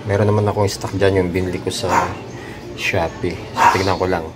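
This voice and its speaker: A Filipino man giving a casual hands-on tutorial, thinking aloud in a halting way as he works. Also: low voice, level tone, loud music behind the voice. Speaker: DIY repair vlogger.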